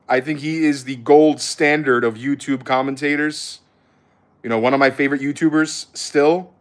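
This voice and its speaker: A man with an American accent chatting casually as a streamer, answering questions in a blunt, slangy, conversational way. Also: medium pitch. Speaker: streamer, content creator. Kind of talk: casual livestream chat, answering viewer questions.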